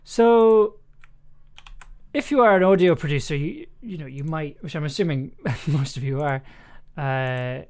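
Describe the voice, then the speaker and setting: Casual livestream chat, medium pitch, informal, thinking aloud, close desk mic. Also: expressive, unhurried, a little mellow. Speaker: streamer, audio drama producer. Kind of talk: casual livestream chat while editing audio. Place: indoors at a computer desk. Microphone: desk microphone.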